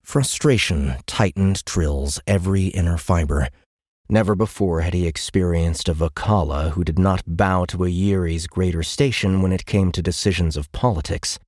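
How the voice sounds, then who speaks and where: Deep-voiced male audiobook narrator. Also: normal speed, darkish tone, dry recording, studio quality. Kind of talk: dramatic scripted fiction narration.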